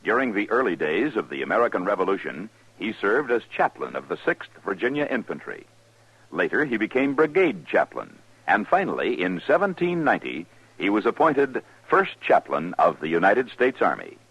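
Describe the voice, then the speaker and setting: A man's voice: fairly low pitch, big pitch swings, moderate pace, creaky, fairly bright tone, husky, dry recording. A man announcing from a script in formal, declamatory 1950s radio style. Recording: dull vintage radio recording.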